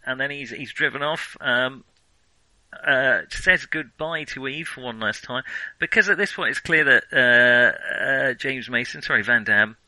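A man's voice: medium pitch, unhurried, bright, forceful tone, dry recording, low-quality recording. A man co-hosting a casual film discussion, talking in a hesitant, discursive way. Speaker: podcast co-host.

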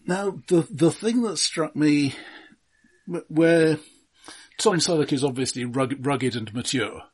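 A man's voice: fairly high pitch, slow, slightly rough. A man co-hosting a film podcast, casually summarising and commenting in a dry, understated way.

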